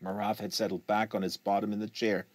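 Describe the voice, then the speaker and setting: A man's voice: lowish voice, little pitch movement, fast talker, husky, dry recording. A man reading literary fiction aloud as a narrator, close to a studio microphone in a small treated room. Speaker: audiobook reader. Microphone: close studio microphone on desk.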